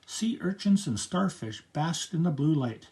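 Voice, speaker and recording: An older man reading a picture book aloud to children as a grandfatherly storyteller, with expressive narration. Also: a little high, a little slow.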